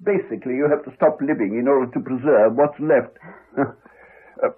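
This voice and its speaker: An actor playing an elderly retired village doctor in a radio drama, halting, courteous and rueful. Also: male voice, mid-range voice, breathy edge, lightly acted. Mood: apologetic, rueful. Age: older. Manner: halting, apologetic, rambling, courteous. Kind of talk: 1980s radio drama acting. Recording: muffled narrow-band 1980s radio recording.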